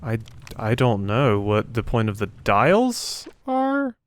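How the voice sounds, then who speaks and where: A man with a fairly low, very clear voice hosts a let's play. He thinks aloud casually, musing and asking himself questions. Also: wildly swooping pitch, slow.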